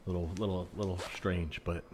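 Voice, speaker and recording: A man improvising a desperate, sheepishly philosophical shopper in a comedy scene, halting and rambling as he earnestly justifies himself. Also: low voice, flat delivery, a little mellow, slight background noise, natural voice. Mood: relieved, musing.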